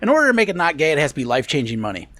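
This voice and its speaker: A man co-hosting a crude comedy podcast with a Cincinnati, Midwest accent, teasing his partner and firing back quick profane rebuttals. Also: on the high side, wildly swooping pitch, bright, forceful tone. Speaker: podcast co-host. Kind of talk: casual crude podcast banter.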